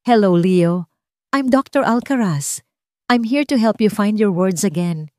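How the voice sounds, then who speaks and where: A woman's voice: medium pitch, no room sound, studio quality, pitched above the performer's own voice, natural voice. Woman voicing a cold clinical male psychiatrist. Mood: clinical, commanding. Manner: calm, emotionless, measured, repetitive.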